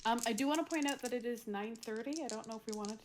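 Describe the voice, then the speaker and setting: A woman's voice: fairly high pitch, fast talker. A woman chatting casually as a player in a tabletop role-playing game. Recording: background noise and clicks.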